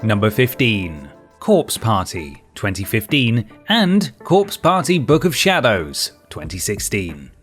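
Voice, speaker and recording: Animated man narrating a countdown list. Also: fairly low pitch, slow. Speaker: video list presenter. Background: background music.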